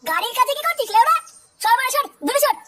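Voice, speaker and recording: A man voicing a boastful, snobbish newly rich gambler in a comedy cartoon, with a West Bengal Bengali accent and a pitched-up voice. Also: lively intonation, fast, loud music behind the voice. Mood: scornful bragging. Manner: boastful, dismissive, sweary. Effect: pitched-up, sped-up voice. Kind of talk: comic cartoon voice acting.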